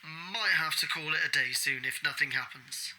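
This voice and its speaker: A man's voice: on the high side, moderate pace, pushed and bright. An actor in an audio drama playing a nervous man muttering secret observations into a handheld recorder. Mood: furtive, tense. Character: nervous amateur snoop recording his secret stakeout. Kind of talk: audio drama, spoken field notes. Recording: noisy outdoor field recorder.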